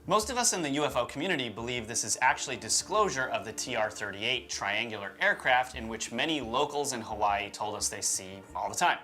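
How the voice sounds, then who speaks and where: A man's voice: mid-range voice, lively intonation, brisk, few pauses, pushed and bright. A man co-hosting a UFO show with informal, opinionated conversational commentary. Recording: music behind the voice. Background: background music.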